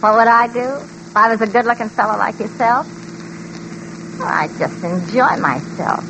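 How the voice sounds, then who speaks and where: An actress playing a breezy, blunt young hitchhiker, talking in casual slang in 1940s radio drama style. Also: female voice, a little high, lively intonation, normal speed. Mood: easygoing, puzzled. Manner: slangy, casual, matter-of-fact. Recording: noisy old 1940s radio recording.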